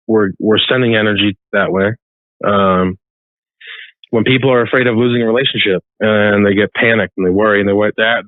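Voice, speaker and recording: A man who works as a psychic, talking earnestly and reflectively in a casual podcast chat, laughing softly now and then. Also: lowish voice, no room sound. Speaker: psychic guest. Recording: dry phone-like call line.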